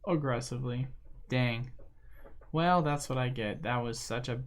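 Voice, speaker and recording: A man's voice: mid-range voice, unhurried, slight background noise. A young man hosting a livestream, chatting casually and rambling with lots of fillers and slang while he plays a game. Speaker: livestream host. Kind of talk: casual chat while gaming.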